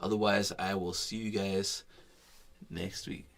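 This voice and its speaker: A man singing a soulful original ballad on a live stream, then chatting softly and rambling to his viewers. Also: little pitch movement, a little slow, gravelly. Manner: soulful high sung ballad; soft, rambling, mumbled talk with many fillers. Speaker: singer-songwriter streaming live. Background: piano accompaniment.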